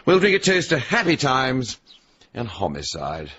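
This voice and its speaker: Amiable suitor, jaunty, slightly hesitant, animated mid-pitched male voice, radio play. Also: measured pace, husky, muffled recording, full character voice. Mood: playful. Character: amiable, playful gentleman suitor from the village. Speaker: supporting actor in a radio drama. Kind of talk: radio comedy drama acting.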